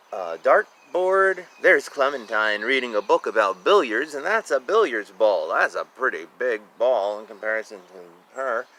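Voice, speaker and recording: Animated man reading a children's storybook. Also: medium pitch. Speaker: reader of a children's picture book. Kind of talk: read-aloud storytelling with casual asides about the pictures.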